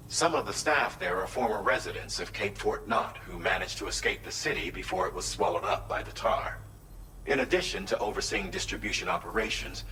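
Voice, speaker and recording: A businesslike mission dispatcher in a video game, delivering a crisp briefing. His voice is low-pitched with a bright, forceful edge. It rings in an echoey space with faint hiss behind it.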